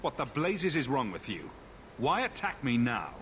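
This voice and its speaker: An actor playing a blunt, defiant adventurer in a video game, speaking in a direct, challenging way. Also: male voice, mid-range voice, big pitch swings, normal speed, noisy background, close to an everyday voice. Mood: indignant.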